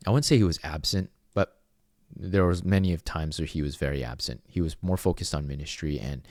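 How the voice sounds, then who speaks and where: A man's voice: very deep voice, a little fast, a little mellow, dry recording, studio quality. A man from Venezuela hosting a podcast alone, telling a personal story in an earnest, reflective and rambling way. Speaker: podcast host. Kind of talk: solo podcast monologue.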